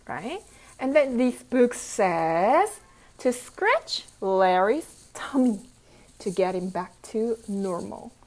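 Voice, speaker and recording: A woman's voice: mid-range voice, darkish tone, low-quality recording. A woman teacher reading a picture book aloud to children, playful and interactive, asking questions, repeating phrases and adding exclamations and sound effects. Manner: repeats phrases slowly for young learners.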